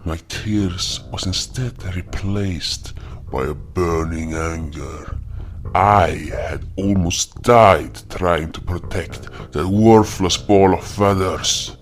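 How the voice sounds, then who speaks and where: A man's voice: deep voice, slight background noise. A man playing a brooding, wounded beast hunter, narrating his own tale solemnly in a dramatic audio story. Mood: bitter, vengeful. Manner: solemn, slow, deliberate delivery.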